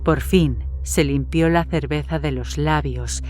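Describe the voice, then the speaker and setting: A woman narrates a horror story in a low register. Her delivery is scripted storytelling, set over soft background music. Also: slow pace.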